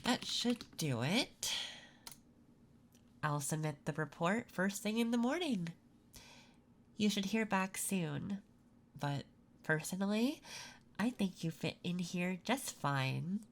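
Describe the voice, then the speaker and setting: A woman playing a curious, quietly flirtatious job interviewer in an ASMR roleplay, speaking softly and intimately. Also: on the low side, lively intonation. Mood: shyly flirtatious. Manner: soft-spoken, unhurried, intimate.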